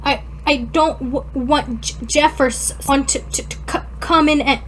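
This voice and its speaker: A woman voicing a shy, lovestruck young man with a nervous, halting stammer in a fan fiction reading. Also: high voice, slight background noise, natural voice. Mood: anxious, tender.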